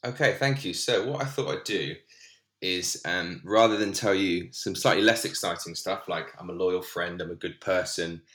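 Deep-voiced guy guesting on a podcast, bright tense tone, kinda echoey room, jokes at his own expense. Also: moderate pace. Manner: self-deprecating, laughs while talking. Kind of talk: casual chat. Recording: roomy echo.

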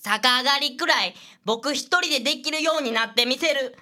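A woman's voice: sits high, fairly quick, sharp tone. A woman voicing a determined, stubbornly earnest little schoolboy, a devoted younger brother, in a radio drama. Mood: determined, pleading.

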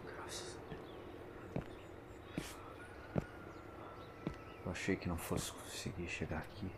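A male actor with a Brazilian accent playing a frightened, exhausted man on the run in an audio drama, speaking in a haunted, urgent, halting way. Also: low-pitched, flat delivery, vocal fry, very noisy. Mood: exhausted relief. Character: frightened, exhausted man fleeing an unseen invasion.

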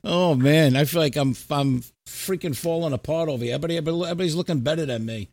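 A middle-aged man hosting a casual chat, talking in an animated, slangy, sweary way. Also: on the high side, fast talker, dry recording. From New York and New Jersey, United States. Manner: animated, profane, slangy storytelling. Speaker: podcast host.

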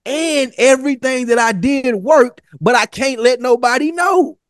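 A man with an American accent chatting casually as a podcast co-host, telling things in a slangy, profane, animated way. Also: extremely high pitch, unhurried. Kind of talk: casual TV review podcast chat.

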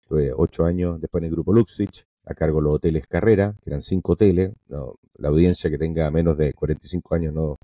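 A man, a podcast guest telling his story in an emphatic, animated way. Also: extremely low pitch, fast, hardly any pauses, no echo. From Venezuela. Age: 50s. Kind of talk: casual interview talk.

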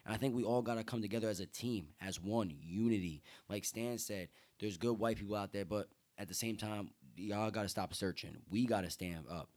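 A man's voice: lowish voice, brisk, hardly any pauses. Earnest young man getting fired up. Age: young adult. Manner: earnest, gets loud and excited. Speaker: guest. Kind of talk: casual conversation.

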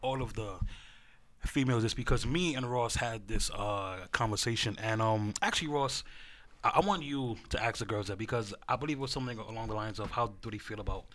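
Bright-voiced young man hosting a chat. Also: lowish voice, fairly quick. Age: late twenties. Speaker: podcast host moderating a panel. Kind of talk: casual group chat.

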